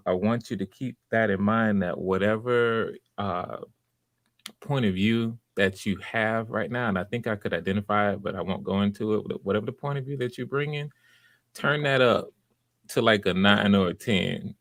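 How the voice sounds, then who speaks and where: Man, lowish pitch, audition director, encouraging, halting conversational coaching, frequent restarts, dry recording. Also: fairly bright tone, no echo.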